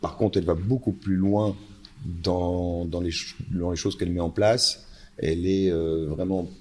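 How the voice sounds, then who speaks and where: A man's voice: low voice, steady tone, measured pace, a little mellow. A man, a brand founder, speaking as a guest on a panel and often repeating words while he searches for them. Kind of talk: panel conversation. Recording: live event with a panel.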